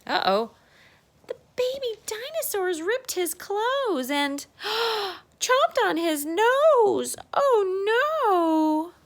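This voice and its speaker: Expressive woman reading slowly to young children. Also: extremely high pitch. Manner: expressive, exclaiming, asking young listeners questions. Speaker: children's circle-time teacher and storybook reader. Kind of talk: children's storybook read-aloud with chatty asides.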